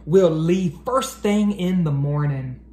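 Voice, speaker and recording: A man's voice: a little high, slow pace, mellow. An American man voicing a kind, encouraging father rabbit in a children's storybook read-aloud. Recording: roomy echo, background noise.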